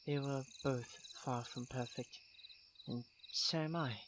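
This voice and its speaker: Night crickets chirp clearly around a young prince played by a male voice actor. He is haughty but tender underneath, choosing formal, precise words in a mid-pitched voice. It plays as an intimate audio roleplay addressed to a close childhood friend. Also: steady tone, takes it slowly, noisy background, lightly acted. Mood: earnest, reflective. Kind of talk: ASMR roleplay acting, intimate monologue to the listener. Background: night crickets and insects.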